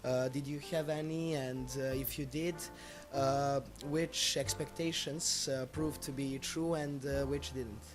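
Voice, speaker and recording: A man's voice: mid-range voice, steady tone, unhurried, few pauses. A young man with a Serbian accent hosting a radio interview in an unscripted, polite and hesitant way. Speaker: school radio host. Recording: music playing behind the voice.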